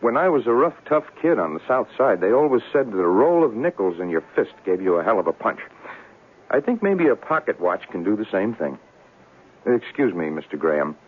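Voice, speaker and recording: An actor playing a fragile, paranoid young artist who swings between babyish pleading and terse muttering, in 1970s radio drama style. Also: male voice, lowish voice, very animated, fairly quick, husky. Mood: flat, calculating. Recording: tinny, lightly noisy old radio recording.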